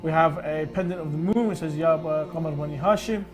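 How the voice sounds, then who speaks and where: A man's voice: on the high side, fairly quick, a loud crowd. A man whose first language is Urdu, co-hosting a live charity broadcast and running through donation packages with frequent fillers. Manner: listing items with frequent fillers. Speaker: host. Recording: music in the background.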